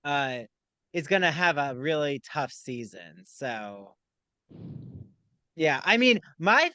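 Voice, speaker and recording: A man's voice: fairly high pitch, slow, no room sound. A man hosting a podcast, chatting casually and asking his guest playful questions. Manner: playful, animated interviewer. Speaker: podcast host. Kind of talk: casual chat.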